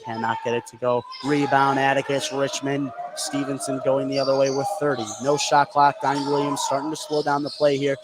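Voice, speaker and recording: A man's voice: medium pitch, monotone, fairly quick, hardly any pauses. A man doing live play-by-play radio commentary of a basketball game. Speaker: play-by-play announcer. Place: indoor basketball gym during a game. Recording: clear gym noise behind the voice.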